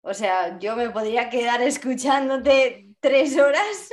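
Chatty Spanish podcast host woman. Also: a little high. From Spain. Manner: laughs often, mixes in English words. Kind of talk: casual podcast interview.

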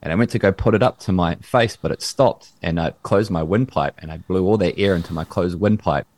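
Man, band singer, very low voice, dry close podcast mic; rambling casual guest who circles back on his words. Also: brisk, darkish tone, dry recording. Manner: rambling, repeats words while searching for them. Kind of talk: casual chat. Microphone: in-ear monitors with podcast mic.

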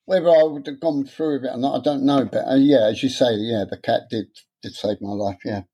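A man, talking candidly in an interview, halting and repeating words as he speaks. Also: medium pitch, warm tone, husky. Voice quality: stammer. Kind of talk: personal interview.

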